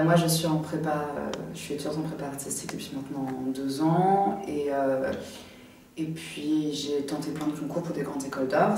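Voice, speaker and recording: Young woman speaking haltingly in an echoey room. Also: low voice, a little mellow. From France. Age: young adult. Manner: halting, thinking aloud with many pauses. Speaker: art student interviewee. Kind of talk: casual interview answers. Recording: very echoey, distant from the mic, faint noise.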